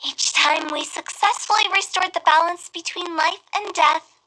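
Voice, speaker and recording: A woman's voice: extremely high pitch, normal speed, pushed and bright, low-quality recording. A woman playing a playful, confident young funeral director in an anime-style fantasy game, with a theatrical, knowing manner. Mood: assured, explanatory.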